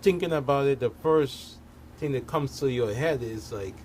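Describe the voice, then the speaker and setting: A man talking casually as a guest in a discussion, halting and often tagging phrases with filler. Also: medium pitch, a little mellow. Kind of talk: casual conversational talk. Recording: noticeable background noise, room ambience.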